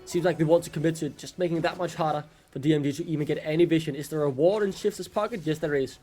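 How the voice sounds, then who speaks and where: A man's voice: on the high side, fast, mellow, faint music behind. A man whose first language is Danish, casting a live esports match in chatty commentary and quizzing his co-caster.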